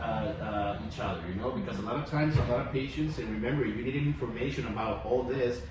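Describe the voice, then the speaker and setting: A man's voice: mid-range voice, lo-fi sound. A man, a dentist from Mexico with a Mexican Spanish accent, chatting casually with a patient and filling his talk with "okay?" and "you know?". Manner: friendly, rambling, tag questions like "okay?" and "you know?". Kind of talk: casual clinic testimonial chat. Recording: echoey room, far from mic, audible noise.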